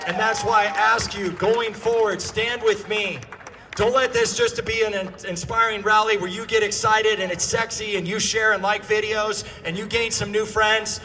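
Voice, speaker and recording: A man's voice: high voice, fairly even intonation, normal speed, a little hiss or hum, poor audio. An American man delivering an impassioned political rally speech, projecting his voice and repeating key phrases for emphasis. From United States. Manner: projected, often shouting. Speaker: political activist addressing a rally.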